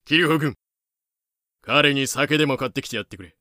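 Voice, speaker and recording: Man as crisp, blunt, commanding questioner. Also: medium pitch, normal speed, pushed and bright, no echo, studio quality, lightly acted. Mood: dry, insistent. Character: blunt, self-assured man demanding orderly pirate tales. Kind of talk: fan-made voice drama acting.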